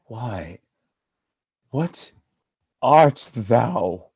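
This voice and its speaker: An actor playing a jealous, tormented lord and husband in a Shakespearean radio play, theatrical and volatile, with a husky voice. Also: male voice, medium pitch, very animated, extremely slow, dry recording, full character voice. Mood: cold, bitter. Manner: theatrical, volatile, swinging between quiet and fury.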